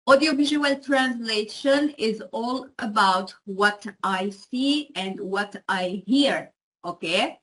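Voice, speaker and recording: A woman's voice: mid-range voice, sharp tone, rough recording. A woman giving an explanatory lecture to students, often checking in with her listeners. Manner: frequent check-ins with listeners.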